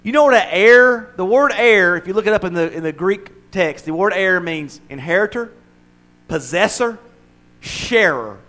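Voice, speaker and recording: A man's voice: high voice, animated, moderate pace, a bit bright. An older man preaching a conversational sermon as pastor, mixing humor with emphatic, building repetition. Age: 60s.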